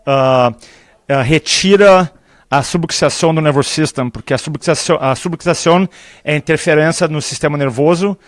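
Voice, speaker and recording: Brazilian man, native Portuguese speaker, energetic conference interview guest, a slightly raised voice, dry close mic. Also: on the high side, brisk, dry recording. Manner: animated storyteller, acts out dialogue, laughs often. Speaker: public speaking trainer, guest. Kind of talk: enthusiastic live interview chat. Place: conference venue.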